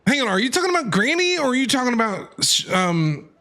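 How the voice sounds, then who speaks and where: Animated, high-voiced male game streamer. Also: fast. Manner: animated, excitable, thinking out loud. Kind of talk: live gameplay commentary. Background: game music.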